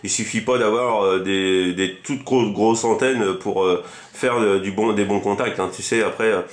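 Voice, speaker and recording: A man's voice: lowish voice, fairly even intonation, moderate pace. A man in a casual CB radio chat, speaking in a rambling, repetitive way as a hobby operator. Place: indoors at a fixed home radio station. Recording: roomy echo around the voice.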